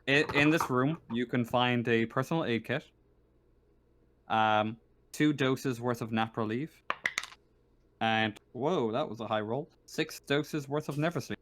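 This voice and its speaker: A man speaking haltingly and casually as the game master of a tabletop role-playing game. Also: mid-range voice. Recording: noisy home game-session audio.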